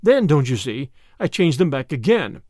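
A man's voice: fairly high pitch, sing-song, normal speed, darkish tone, dry recording, clean studio sound. A man voicing a small, mild, shrewd country priest in a storybook, speaking simply with a timid, apologetic manner.

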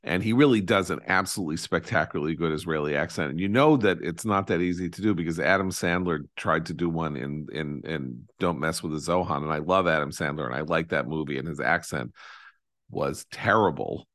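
A man hosting a casual chat, talking with enthusiasm in long digressions that trail off quietly. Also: very deep voice, fairly quick, no echo, studio quality.

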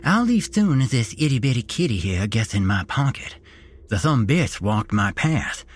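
A man's voice: medium pitch, sing-song, normal speed, breathy edge. Man putting on a lisping, sing-song creepy vagrant. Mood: timid, menacing. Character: giant creepy homeless man, shy, timid, childishly gleeful.

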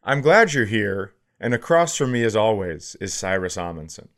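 A man's voice: lowish voice, very animated, normal speed, a bit bright. An American man co-hosting a podcast with casual comedic chat in a sardonic, sweary manner. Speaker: podcast co-host.

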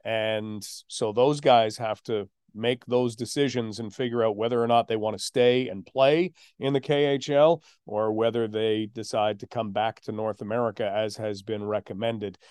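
A man hosting a hockey podcast as a play-by-play announcer, telling stories in a measured way with drawn-out emphasis. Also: lowish voice, fairly even intonation, darkish tone, smooth, no echo, clean studio sound. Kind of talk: sports podcast conversation.

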